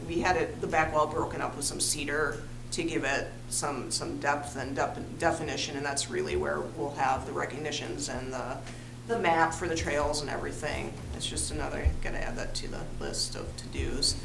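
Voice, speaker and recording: A woman with a very low voice gives a friendly, casual update at a public meeting. The room is echoey and a clear hum runs underneath her. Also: steady tone, normal speed. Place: township board meeting room.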